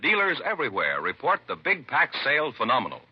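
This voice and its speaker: A male announcer delivers an emphatic 1950s radio sales pitch. His intonation swings widely and his voice has a slight rasp. It comes across dry and muffled, like an old broadcast. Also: wildly swooping pitch, fast talker, no echo. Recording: dull vintage radio recording.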